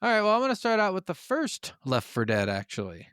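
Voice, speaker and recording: Casual male podcast co-host, clear, higher-pitched voice. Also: huge pitch swings, brisk, a bit bright, dry recording, studio quality. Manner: enthusiastic, freely profane. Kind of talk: casual gaming podcast chat.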